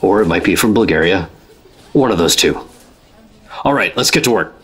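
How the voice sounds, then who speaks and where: Low-voiced, deadpan government agent. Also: male voice, moderate pace, background noise. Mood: matter-of-fact. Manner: deadpan, commanding. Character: stern, matter-of-fact male government special agent, team leader. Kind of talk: 1950s-style radio drama narration and acting.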